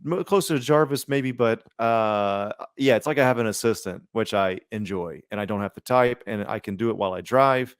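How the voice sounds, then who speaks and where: A man's voice: lowish voice, normal speed, hardly any pauses, clean tone, dry recording, clean studio sound. A man co-hosting a podcast in casual chat, with a slangy, sweary, conversational way of talking. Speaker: podcast co-host.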